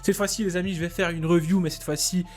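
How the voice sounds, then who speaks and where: A young man hosting an anime reaction video, rambling casually with repeated fillers, casual swearing and excited exclamations. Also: high-pitched, quick pace, faint music behind. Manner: excitable, laughs and exclaims while watching. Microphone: desk microphone.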